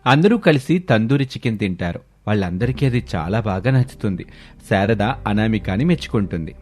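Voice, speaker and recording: A man's voice: on the low side, lively intonation, fairly quick, a little mellow. A man reading scripted story narration as the narrator. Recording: music bed under voice. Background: background music.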